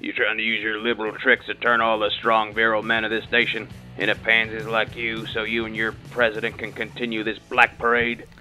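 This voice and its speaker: A man's voice: fairly low pitch, monotone, a little fast, few pauses, sharp tone, faint music behind, rough recording. A man playing a ranting bigoted caller. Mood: indignant. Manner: ranting, threatening, pompous. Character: bigoted blustering middle-aged male radio caller.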